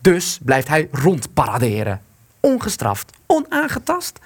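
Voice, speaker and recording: An actor playing a bitter, despairing husband in wartime hiding in a Dutch radio play, sarcastic and agitated. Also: male voice, on the high side, huge pitch swings, unhurried, husky, exaggerated voice. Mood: indignant.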